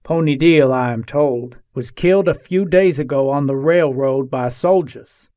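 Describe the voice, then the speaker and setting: A man playing a jailed Old West gunfighter in a dramatic reading, giving his account in a measured, matter-of-fact way. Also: mid-range voice, mellow, no room sound, studio quality, lightly acted. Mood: matter-of-fact, guarded. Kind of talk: dramatic reading of an 1882 newspaper interview.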